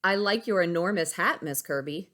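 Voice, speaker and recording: A woman's voice: on the low side, moderate pace, a bit bright, lightly acted. A woman voicing a nervous, polite young schoolboy from a storybook, speaking awkwardly. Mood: awkward politeness.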